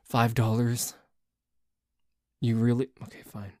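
A man playing a dorky, jealous tsundere boyfriend in an ASMR roleplay, bickering haltingly with a cocky streak. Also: medium pitch, flat delivery, warm tone, no echo, clean studio sound, lightly acted. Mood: reluctant. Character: dorky, jealous, grumbling tsundere boyfriend who dislikes a cat.

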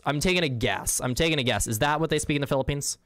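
A man's voice: a little high, fast talker, a bit bright, dry recording, studio quality. A young man casually chatting with viewers while playing a game, slangy and easygoing, with the odd swear. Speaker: streamer. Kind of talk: casual game livestream, reading chat.

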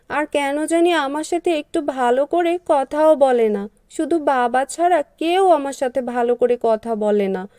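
A gentle eldest farm daughter from a cartoon, acted by a woman, speaks in a high voice. She is dutiful and earnest, with a plaintive edge. The recording is dry and close. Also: dry recording. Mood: sad, plaintive. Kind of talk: Bengali cartoon dubbing.

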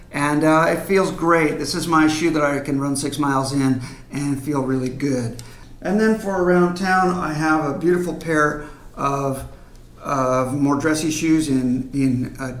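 A man in his fifties presents informally to camera, explaining things in a hands-on way. His voice sits somewhat higher than most men's. It is recorded at a desk in a clearly echoey indoor room. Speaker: video presenter. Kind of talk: casual explainer to camera, demonstrating items. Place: medium-sized home office, indoors at a desk.

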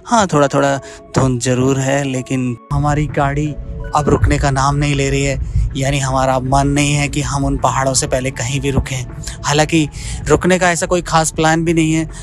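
A man in his thirties, chatty and excited, vlogging outdoors on a mountain road into a phone held at arm's length. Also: mid-range voice, moderate pace, over music. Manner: chatty, excited about the scenery, sings his own song. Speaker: travel vlogger. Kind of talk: casual travel vlog narration.

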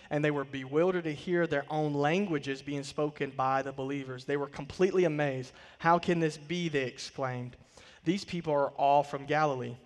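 A man in his thirties preaching a sermon from a church stage, swelling on key words and dropping to quiet line endings. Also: fairly high pitch, in a large hall. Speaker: pastor. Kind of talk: sermon with scripture reading. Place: large church stage, indoors.

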